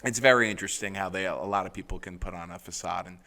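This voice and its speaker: Laid-back slangy guy, low and bright. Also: fast talker. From Long Island, New York. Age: young adult. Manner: laid-back, slangy, says bro and dude. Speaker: guest, realtor. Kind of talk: casual interview chat.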